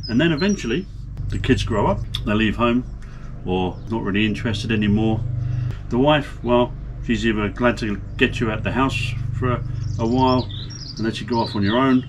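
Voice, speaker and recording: A man's voice: medium pitch, normal speed, background noise. A middle-aged man chatting casually to camera outdoors in a garden while showing camping stoves by hand. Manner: chatty, plain-spoken, demonstrating stoves by hand. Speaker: camping vlogger. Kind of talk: casual gear demonstration to camera. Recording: outdoor birdsong in background. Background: birdsong.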